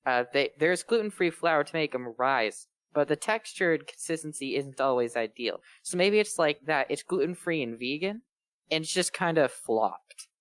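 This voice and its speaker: A man's voice: fairly high pitch, animated, moderate pace, a bit bright, no room sound. A man co-hosting a fan fiction read-along, chatting casually in rambling, mock-earnest explanations. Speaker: co-host reading fan fiction aloud. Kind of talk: casual chat with joking riffs.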